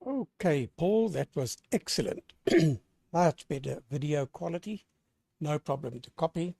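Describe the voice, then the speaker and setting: A hoarse man running an amateur radio net, talking in a lively on-air way and spelling callsigns with the phonetic alphabet. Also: a little high, unhurried, no echo, studio quality. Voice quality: gravelly.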